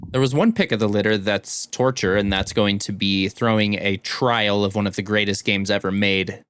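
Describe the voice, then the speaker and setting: Man, podcast co-host, slightly low voice, conversational, gaming chat, very dry sound. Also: steady tone, moderate pace, a bit bright, clean tone, no room sound. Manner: informal, conversational.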